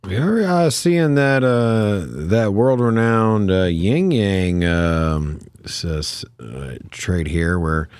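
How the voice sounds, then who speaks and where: A man's voice: fairly low pitch, slow pace, few pauses, a little mellow, smooth. A man co-hosting a live trading stream, joking around in a slangy way and drawing some words out. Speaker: co-host of a crypto trading stream. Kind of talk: casual live-stream banter.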